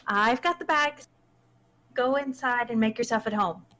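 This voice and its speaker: A woman's voice: fairly high pitch, unhurried, crowd noise, natural voice. A woman playing a playful, caring friend in her twenties, speaking conversationally in a dramatic script reading.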